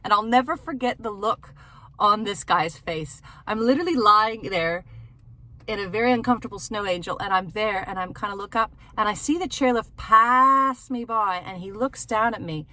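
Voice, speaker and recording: A woman hosting a casual storytelling vlog from inside a parked car, animated and giggly, acting out bits of dialogue. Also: medium pitch, moderate pace. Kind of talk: casual personal storytelling to viewers.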